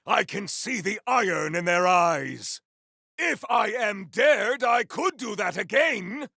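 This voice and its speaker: Bombastic, gravelly warrior. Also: male voice, high-pitched, expressive, slow, bright, forceful tone, no room sound, studio quality, heavily acted. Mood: battle fury. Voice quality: gravelly, rough. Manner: bombastic, theatrical declaiming. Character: grandiose, battle-hungry male warrior with fire and ice powers. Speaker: playable game character. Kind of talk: video game combat barks.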